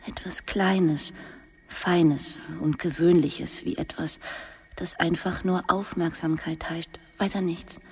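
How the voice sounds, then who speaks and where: A woman playing a dying, sorrowful schoolmistress in an intimate radio drama, breathy, half-whispered, tender and confiding. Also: on the low side, slight background noise. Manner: slow, hushed, confiding, tender. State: weak, dying (acted).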